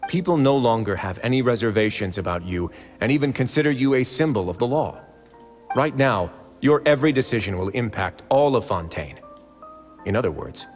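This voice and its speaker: A man voicing a confident, easygoing prison warden in a video game, speaking in a relaxed, persuasive, assured way. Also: fairly low pitch, long reverb tail, lightly acted.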